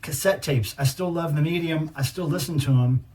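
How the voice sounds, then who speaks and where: A man's voice: a little high, moderate pace, a little mellow. A middle-aged man showing off his collection in casual, unscripted chat, enthusiastic, rambling and a little profane. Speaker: cassette collector and video host. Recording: clearly noisy home recording with clicks.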